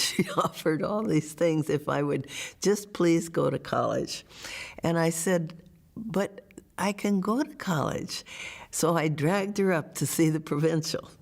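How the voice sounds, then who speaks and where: An eighty-year-old nun tells stories from her youth with wry humor. Her voice is low and gravelly. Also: unhurried. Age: 80s. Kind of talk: oral history interview.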